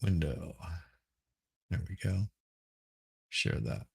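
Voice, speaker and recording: A middle-aged man chatting casually as a podcast host, hoarse and rambling, often repeating words and restarting. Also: low voice, expressive, slow pace, darkish tone, no room sound, studio quality. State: hoarse from smoke. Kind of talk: casual livestream chat.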